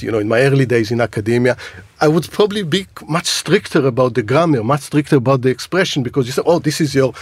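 A middle-aged man, a native Hebrew speaker and university lecturer, talking in a radio discussion with many halting restarts. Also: medium pitch, no room sound. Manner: hesitant, many restarts and repeats.

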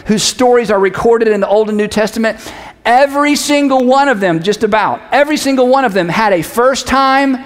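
High-pitched male preacher in a reverberant hall. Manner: emphatic, repeats key phrases. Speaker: pastor. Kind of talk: conversational sermon. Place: large hall, preaching to an audience.

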